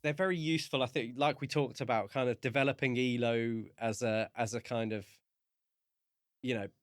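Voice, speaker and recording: A man with a medium-pitched voice co-hosts a podcast in a casual, discursive style. He feels his way through thoughts in measured phrases. The recording is dry, with no room sound. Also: steady tone, dry recording. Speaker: podcast co-host. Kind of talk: casual book discussion chat.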